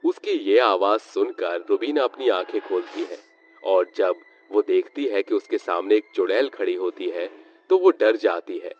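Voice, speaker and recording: A man's voice: deep voice, lively intonation, a little slow. A man narrating a scripted story as a storyteller. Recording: muffled lo-fi sound with background music.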